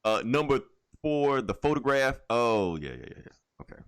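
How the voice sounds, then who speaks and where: A man's voice: medium pitch, big pitch swings, moderate pace, clear, resonant tone. A man co-hosting a podcast, talking casually and bluntly, with plenty of swearing and an irreverent manner. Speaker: podcast co-host. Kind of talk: casual, profane movie chat.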